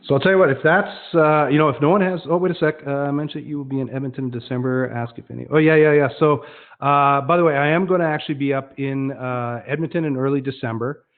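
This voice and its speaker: A man's voice: medium pitch, fast. A man presenting an informal online demonstration, explaining conversationally and often restarting his sentences. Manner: conversational, explanatory, frequently self-correcting. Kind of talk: informal webinar presentation with question and answer. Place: online web conference. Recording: dull, lo-fi online webinar audio.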